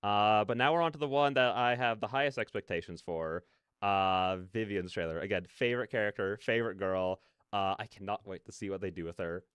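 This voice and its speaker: A man with a smooth, clear voice recorded very dry and close. He gives casual, excited reaction commentary as a host, rambling and repeating himself as he stammers toward his point. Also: fairly low pitch, brisk, dry recording. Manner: rambling, repetitive, stammering emphasis.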